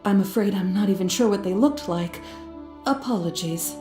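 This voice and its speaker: A woman's voice: medium pitch, normal speed, dark tone, lightly acted. An actress playing an earnest, polite young female scholar in a video game, speaking in an apologetic, courteous way. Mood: hesitant, apologetic. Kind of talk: video game character acting. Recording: faint music, roomy sound.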